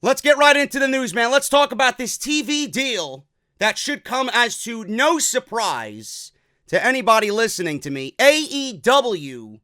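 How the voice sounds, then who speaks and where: A man hosting a wrestling news show from his office, talking in an energetic, casual way and swearing now and then. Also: very high-pitched, a little slow, dry recording, studio quality. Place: office at a desk.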